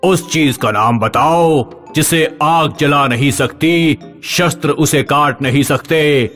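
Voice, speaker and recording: Magic stone for a children's tale man acting: solemn, measured, commanding, with faint music. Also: on the high side, fairly bright tone. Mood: stern, solemn. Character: stern magical talking stone posing riddles. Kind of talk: children's animated storybook voice.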